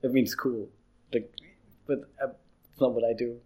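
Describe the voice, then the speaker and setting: A man's voice: mid-range voice, fairly even intonation, moderate pace, a little mellow. A young man, an illustrator, chatting in an interview, rambling with frequent restarts. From Austria. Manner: rambling, restarts often, laughs easily. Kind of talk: casual interview chat.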